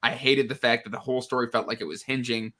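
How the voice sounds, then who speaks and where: A man's voice: medium pitch, steady tone, fast, sharp tone. A man in a casual book discussion, speaking with exasperated emphasis and stammering, repeated restarts.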